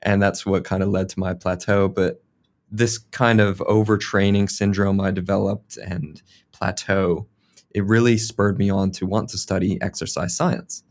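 American male scientist, 30s, very deep voice, laid-back guest with filler-heavy speech. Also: level tone, a little slow. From United States. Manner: casual, uses many fillers like "like" and "kind of". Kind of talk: relaxed interview answers.

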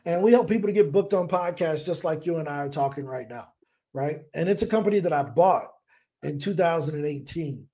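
A man in his forties from the United States, a business owner telling stories on a podcast in an energetic, casual way, acting out his own past thoughts. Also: on the high side, fairly quick. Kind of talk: casual interview talk. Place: home in Colombia.

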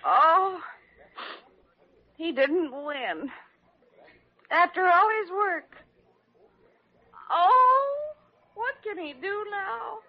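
An actress playing a fussy, soft-hearted American nurse with a sassy streak in a vintage radio drama. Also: female voice, very high voice, lively intonation, drawn-out words, long pauses, heavily acted. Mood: tearful. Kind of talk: 1940s-50s radio drama acting. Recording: dull vintage radio broadcast.

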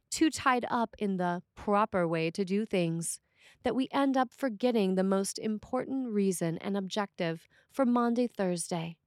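A woman priest reading a scripted sermon with measured, deliberate phrasing. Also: medium pitch, dry recording, studio quality.

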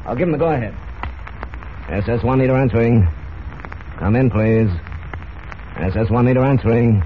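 A man's voice: mid-range voice, moderate pace, natural voice. An actor playing a jittery, crooked male radio operator henchman with slangy talk in an old radio drama. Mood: uneasy. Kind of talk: 1940s radio drama acting. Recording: dull, noisy old radio recording.

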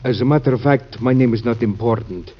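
An actor playing a grave, earnest visitor in an old-time radio drama, formal and polite. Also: male voice, medium pitch, brisk, slightly rough, close to an everyday voice. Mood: confidential, formal. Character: earnest, secretive Spanish gentleman, grave and pleading visitor. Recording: muffled vintage radio recording.

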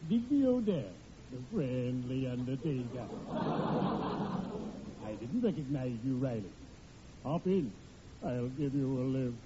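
An actor playing a friendly undertaker in an old radio comedy, formal and polite with dry, macabre humour. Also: male voice, mid-range voice, very animated, unhurried, long pauses. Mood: genially morbid. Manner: formal, genteel phrasing with dry macabre wordplay. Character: friendly, morbidly punning male undertaker, unflappably polite. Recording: muffled, very noisy vintage radio recording.

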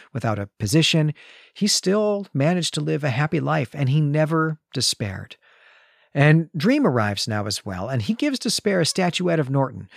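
A man's voice: on the high side, no echo, clean studio sound. A man hosting a literary discussion podcast, talking through a story in a thoughtful, erudite, conversational way with hesitant phrasing. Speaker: podcast host.

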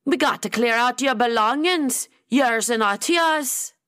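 A woman's voice: fairly high pitch, measured pace, a bit bright, clear, resonant tone, no room sound, clean studio sound, voice pushed up. A woman voicing an urgent, blunt Scottish woman bringing bad news in an audiobook, with a coarse manner. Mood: blunt, annoyed.

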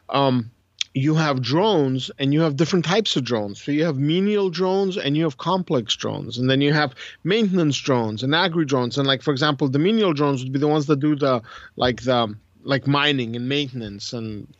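A man's voice: medium pitch, no room sound, highs cut off. A man co-hosting a casual podcast, explaining at length and correcting himself as he thinks aloud. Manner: rambling, thinks aloud with many self-corrections. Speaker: podcast co-host. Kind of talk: casual podcast chat about strategy games.

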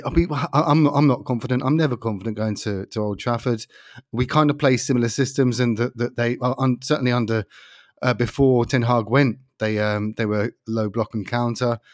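A man's voice: medium pitch, fairly even intonation, brisk, dry recording, clean studio sound. A man co-hosting a casual football podcast who rambles and pokes fun at himself. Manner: rambling and self-mocking, with frequent restarts. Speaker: podcast co-host. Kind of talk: casual chat among football fans.

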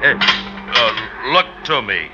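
An actor playing a crude, simple-minded young French husband, speaking in blunt, clumsy phrases in an old radio drama. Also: male voice, mid-range voice, lively intonation, drawn-out words. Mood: blunt, insistent. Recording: dull vintage radio recording with background noise.

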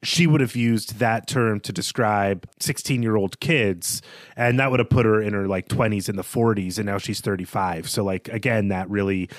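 A man's voice: fairly low pitch, normal speed, dry recording. A man co-hosting a podcast, talking through a book in a conversational, analytical way. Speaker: podcast co-host. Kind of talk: casual literary discussion.

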